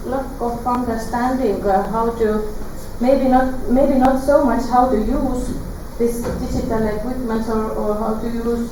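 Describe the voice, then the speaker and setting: Hesitant Estonian-accented woman, distant and echoey. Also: a little high. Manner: halting, searching for English words with many fillers. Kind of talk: hesitant interview answers. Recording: echoey room, distant mic, background noise.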